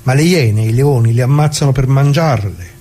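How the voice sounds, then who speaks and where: Mellow older working-class man played by an actor. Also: medium pitch, close to an everyday voice. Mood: anguished disbelief. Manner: colloquial, halting, directly addressing listeners. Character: elderly working-class man from Brescia, plain-spoken bombing survivor. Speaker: actor in a radio monologue. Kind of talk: conversational dramatic monologue.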